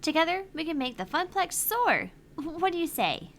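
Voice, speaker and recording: A woman's voice: very high-pitched, very animated, moderate pace, a bit bright, faint music behind, exaggerated voice. A woman reading the lines of a cheerful female AI phone assistant aloud, earnest and a little pleading. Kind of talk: reading game dialogue aloud.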